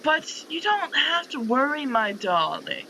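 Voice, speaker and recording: A man voicing a doting but menacing mother in a visual novel, with cooing, drawn-out reassurance. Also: extremely high pitch, very animated, pushed and bright, a little hiss or hum, pitched above the performer's own voice, exaggerated voice, rough recording. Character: doting, menacing mother consoling her child.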